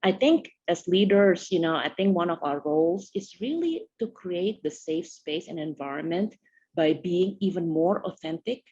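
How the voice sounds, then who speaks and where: A woman's voice: fairly low pitch, animated, normal speed, darkish tone, breathy edge, highs cut off. A middle-aged woman from Indonesia, a tech leader answering interview questions thoughtfully, with many "you know" fillers.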